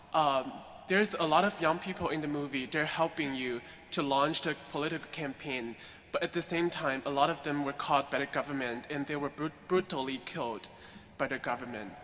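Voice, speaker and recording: Young Chinese-accented man in an echoing hall. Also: on the high side. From China. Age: young adult. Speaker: student asking a question. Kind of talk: prepared audience question. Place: large hall at a public forum. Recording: dull, noisy hall microphone recording.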